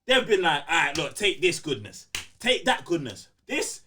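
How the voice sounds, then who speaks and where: Animated, high-pitched London man ranting. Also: moderate pace, a little hiss or hum. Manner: ranting, emphatic, repeats phrases for emphasis, slangy. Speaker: podcast host. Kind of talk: heated casual gaming podcast banter.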